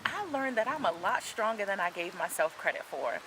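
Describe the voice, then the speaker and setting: A woman's voice: medium pitch, lively intonation, a little fast, bright, forceful tone, slight background noise, rough recording. A woman in her forties, an author, talking in a casual interview, earnest and reflective, with frequent ums as she gathers her thoughts. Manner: earnest, reflective, faith-centered storytelling with frequent "um".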